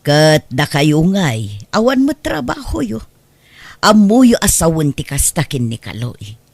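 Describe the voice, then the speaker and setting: An actress playing a nosy, meddling aunt in an Ilocano radio drama. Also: female voice, sits low, huge pitch swings, takes it slowly, vocal fry, darkish tone, dry recording, exaggerated voice. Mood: reproachful.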